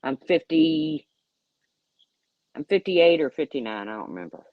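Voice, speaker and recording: A woman's voice: low voice, very animated, measured pace, dry recording, lo-fi sound. A woman in her late fifties hosting a casual livestream, talking bluntly and sarcastically as she rambles and answers her chat. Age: 50s. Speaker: YouTube livestream host.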